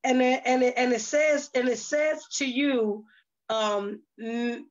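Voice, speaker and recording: High-voiced exhorting woman, dull audio. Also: fairly even intonation, slow pace. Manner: exhorting, folksy, speaks in short emphatic phrases. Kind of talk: casual, preacherly interview talk.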